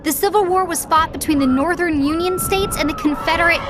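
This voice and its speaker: Very high-voiced know-it-all girl. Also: fairly quick. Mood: matter-of-fact. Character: bright, know-it-all preteen girl, sassy. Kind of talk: animated game acting. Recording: music under the voice.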